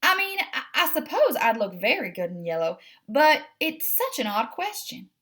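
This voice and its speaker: A woman plays a storybook house cat, female and very pleased with herself. The voice is high and leaps around theatrically. Delivery is prim, fussy and easily excited. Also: normal speed, full character voice. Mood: vain, indignant. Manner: prim, self-admiring, dramatic. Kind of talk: children's storybook character voice.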